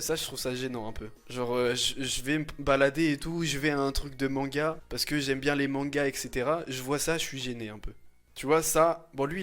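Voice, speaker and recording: A man, chatting casually on a livestream in a slangy, teasing, expressive way. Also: mid-range voice. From France. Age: 20s. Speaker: livestreamer reacting to images and chat. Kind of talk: casual chat, livestream commentary.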